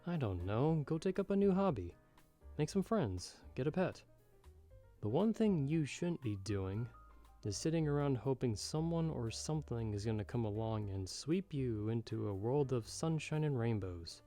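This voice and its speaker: Casting: male actor as a jaded, sardonic bartender for ASMR roleplay; dark mellow tone, mid pitch, measured and wry, music behind. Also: sing-song, close to an everyday voice. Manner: measured, wry, slightly formal and old-fashioned phrasing. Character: jaded, sardonic male bartender giving frank, fatherly advice. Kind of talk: ASMR roleplay, scripted monologue to the listener. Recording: background music under the voice.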